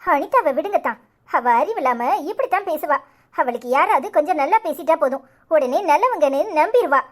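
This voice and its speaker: A woman's voice: sits high, animated, a little fast. A woman voicing a concerned, sensible young village woman, sympathetic and advising in a cartoon drama.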